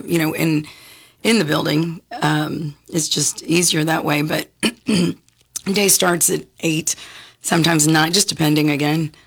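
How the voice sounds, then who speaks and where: A woman with a raspy, hoarse voice, a guest chatting casually on a radio talk show, clearing her throat often and restarting her sentences. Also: lowish voice, fairly bright tone. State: hoarse from talking a lot. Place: radio studio.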